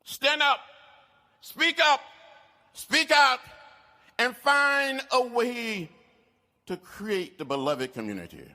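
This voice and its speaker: A man delivering an inspirational speech, solemn and deliberate, in short emphatic phrases. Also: very high-pitched, lively intonation, pushed and bright. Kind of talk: oratorical speech, inspirational exhortation.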